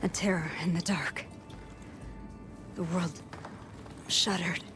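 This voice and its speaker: An actor playing a dying trapped miner in a video game, speaking in a weak, breathless whisper. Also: male voice, sits high, steady tone, slow, over music. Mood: fading.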